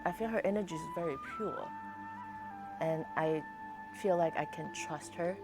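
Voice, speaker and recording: A woman giving a personal testimonial in a halting, drawn-out way. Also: low voice, loud music behind the voice.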